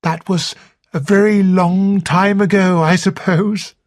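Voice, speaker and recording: A reader voicing Flora, a cheerful young woman, with a raised, excited voice. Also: mid-range voice, slow pace, no room sound, studio quality, voice pushed up, natural voice. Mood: teasing.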